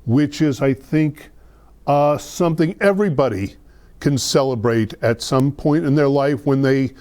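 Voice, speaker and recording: Male guest, soft dark tone, informal explainer who gropes a little for words. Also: mid-range voice, unhurried. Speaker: guest explaining holiday traditions. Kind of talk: casual explanatory chat.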